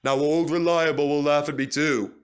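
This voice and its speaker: A man's voice: on the high side, fairly even intonation, normal speed, close to an everyday voice. A man voicing a put-upon but plucky steam engine with a sighing manner, in a storybook reading. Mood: mournful. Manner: mournful, sighing.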